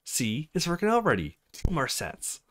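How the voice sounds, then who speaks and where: A man's voice: a little high, wildly swooping pitch, moderate pace, voice pushed up. A man voicing an easygoing young man from a visual novel game, dryly teasing.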